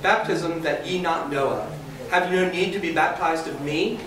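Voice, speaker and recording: A man's voice: fairly high pitch, normal speed, crowd noise, natural voice. A man voicing a defiant, fervent pagan priest, declaiming archaic verse in a staged dramatic reading. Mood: solemn, proud. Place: hotel conference room, indoors. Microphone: camera across the room. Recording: very echoey room, distant mic, faint noise.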